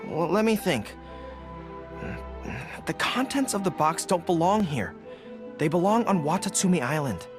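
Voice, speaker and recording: An actor playing an earnest, dutiful young army general in an anime video game, speaking formally and with resolve. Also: male voice, on the high side, big pitch swings, unhurried. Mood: relieved, focused. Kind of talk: English-dubbed anime video game acting. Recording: game music behind the voice.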